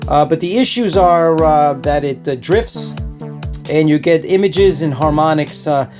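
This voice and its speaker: A man giving a casual hands-on product review as the host, speaking in a hesitant, halting way. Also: on the high side, music in the background.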